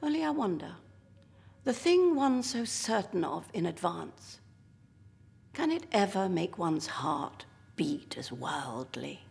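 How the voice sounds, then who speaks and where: A woman's voice: on the low side, very animated, takes it slowly, gravelly, darkish tone, long reverb tail, soft background music, exaggerated voice. An actress giving a dramatic literary reading as a wistful woman musing on the young.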